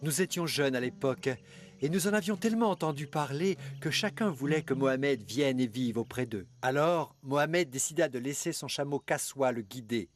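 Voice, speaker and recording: Male actor as devout man reminiscing, animated film, earnest, reverent, medium pitch, light music underneath. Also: normal speed, close to an everyday voice. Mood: reverent, nostalgic. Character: devout man fondly recalling his youth. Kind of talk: scripted storytelling for an animated film.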